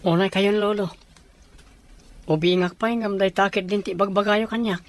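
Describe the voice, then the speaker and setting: A woman's voice: mid-range voice, fairly even intonation, normal speed, close to an everyday voice. A woman playing a guarded, reluctant teenage grandson in a radio drama, curt and questioning. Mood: protesting.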